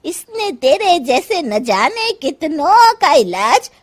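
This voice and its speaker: Woman doing a tired sharp-tongued saas in a cartoon, slow and drawn out, pitch going up and down a lot, scolding. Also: very animated, full character voice. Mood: scheming. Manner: scolding, exasperated, homely. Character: harried older mother-in-law, fed up and sharp-tongued. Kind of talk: Hindi cartoon story voice acting.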